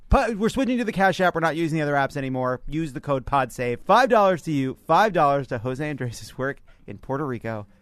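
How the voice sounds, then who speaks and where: A man's voice: on the high side, very animated, a little fast, few pauses. A man hosting a comedic panel podcast, bantering with wry, teasing sarcasm. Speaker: podcast host.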